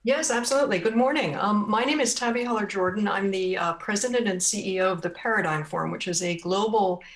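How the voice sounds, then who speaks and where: A woman's voice: medium pitch, fast talker. A woman who heads a think tank, introducing herself eagerly and energetically as a panelist, a little distant from the mic.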